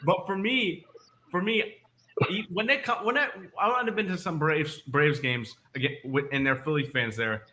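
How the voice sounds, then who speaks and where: Bright-voiced animated sports co-host guy. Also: normal speed. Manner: animated, goes up in pitch when making a point. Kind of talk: casual sports talk.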